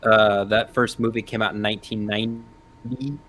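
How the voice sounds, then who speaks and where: A man's voice: lowish voice, little pitch movement, normal speed, a bit bright, slight background noise. A man in his thirties giving analytical, unscripted panel commentary in a hesitant, thinking-aloud way. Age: 30s.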